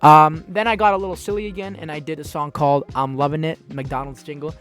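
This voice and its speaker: A man's voice: on the high side, fairly even intonation, fast talker, a bit bright, soft background music. A young man from a Dominican and Peruvian background hosting his own podcast, rambling loosely, restarting sentences and swearing often. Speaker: podcast host. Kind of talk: casual solo podcast talk.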